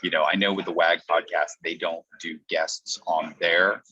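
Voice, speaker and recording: A man's voice: level tone, normal speed, pushed and bright, breathy edge, no echo. A man giving a conversational training talk as a coach, explaining things step by step with example lines. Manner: explanatory, acts out example pitches in quotes. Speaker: podcast coach. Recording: dull, muffled lo-fi audio.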